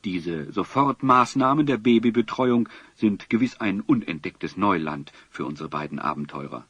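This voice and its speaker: A man narrating a German audio drama in an elaborate, literary and gently ironic storyteller's manner. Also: fairly low pitch, expressive, moderate pace, slightly rough, no room sound. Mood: wry. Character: measured storytelling male narrator. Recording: dull lo-fi tape, music behind.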